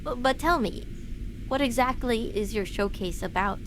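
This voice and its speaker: A woman playing an excitable, caring young woman in an audio drama, expressive and loyal. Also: fairly high pitch, moderate pace, noisy background, close to an everyday voice. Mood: worried.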